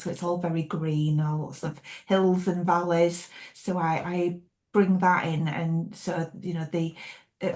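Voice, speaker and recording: A middle-aged woman with a Yorkshire accent talks as a guest on an interview podcast. Her voice sits a little low, and her speech is friendly and rambling, with frequent hesitations and restarts. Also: darkish tone. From Yorkshire, England. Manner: chatty, hesitant with many restarts and laughs. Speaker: thriller author guest. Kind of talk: casual interview chat.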